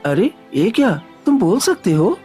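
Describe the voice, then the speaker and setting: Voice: medium pitch, sing-song, unhurried, warm tone. A performer voices a kind, honest young villager from a moral tale. The delivery is earnest and devout, with faint music behind it. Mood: astonished. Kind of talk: acted dialogue in Hindi moral story.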